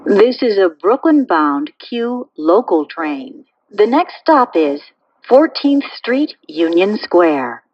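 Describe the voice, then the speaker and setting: A woman making pre-recorded subway train announcements in a measured, formulaic way. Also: medium pitch, big pitch swings, no room sound, highs cut off. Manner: measured, clearly phrased, formulaic delivery.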